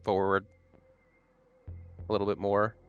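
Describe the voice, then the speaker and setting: Low-voiced man thinking aloud at the game table. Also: monotone, unhurried, fairly bright tone, smooth, lo-fi sound. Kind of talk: casual game table talk. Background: music under the voice.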